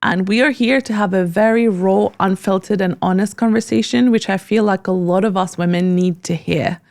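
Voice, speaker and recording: A woman's voice: mid-range voice, fairly even intonation, moderate pace, darkish tone. A woman hosting a conversational interview in an earnest, effusive manner. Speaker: podcast host.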